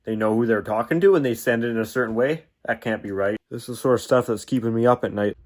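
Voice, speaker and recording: A man's voice: mid-range voice, fast talker. A man talking in a casual, rambling, self-deprecating way as he puzzles things out in a hobbyist vlog. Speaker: hobbyist video host.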